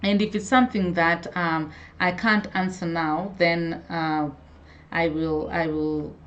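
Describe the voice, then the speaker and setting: A woman's voice: lowish voice, steady tone, slow pace, a bit bright, lo-fi sound. A woman hosting a casual live stream, chatting to viewers, greeting them by name and often restarting her sentences. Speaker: hair care vlogger hosting a live stream.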